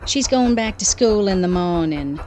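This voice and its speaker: A woman's voice: medium pitch, lively intonation, normal speed, warm tone, a little hiss or hum, natural voice. An actress playing a cold, domineering businesswoman in an audio drama, condescending and cutting. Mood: cold, threatening. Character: haughty, cold, domineering femme fatale businesswoman.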